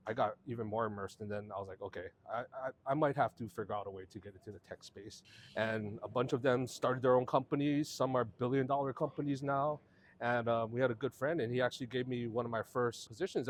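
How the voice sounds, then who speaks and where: A man, a podcast guest telling his life story in a loose, rambling way with plenty of fillers. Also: mid-range voice. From Hawaii. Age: 40s. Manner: relaxed, rambling storytelling with many fillers and restarts. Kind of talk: casual conversational interview.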